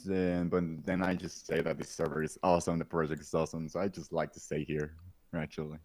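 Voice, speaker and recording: A man composer with a very low voice, chatting casually on a podcast call, laughing often and pausing on fillers. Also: little pitch movement, darkish tone, no echo. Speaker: composer on the team.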